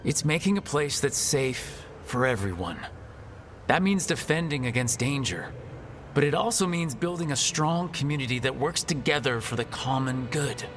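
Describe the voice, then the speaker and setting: A man's voice: medium pitch, lively intonation, normal speed, a bit bright, a little hiss or hum, natural voice. A man playing a kind, earnest hero who leads a team in a fantasy game, with a reassuring, supportive manner. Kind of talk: fantasy video game acting. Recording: game dialogue with music behind.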